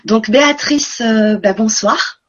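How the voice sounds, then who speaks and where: Woman webinar host, husky voice, lo-fi stream sound, earnest and emphatic. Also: on the high side, takes it slowly. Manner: warm and earnest, doubles words for emphasis. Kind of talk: live online conference hosting.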